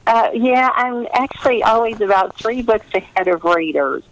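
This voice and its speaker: Chatty woman with a creaky voice. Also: fairly high pitch, moderate pace, rough recording. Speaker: novelist being interviewed. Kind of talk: casual conversational interview.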